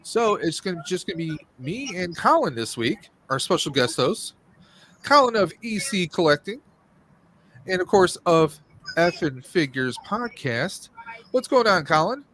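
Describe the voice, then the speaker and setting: A man's voice: sits high, very animated, unhurried, a bit bright, slight background noise, poor audio. A man in his forties hosting a casual livestream podcast, chatting informally with jokey asides. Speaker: podcast host. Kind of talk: casual livestream chat about movies and games.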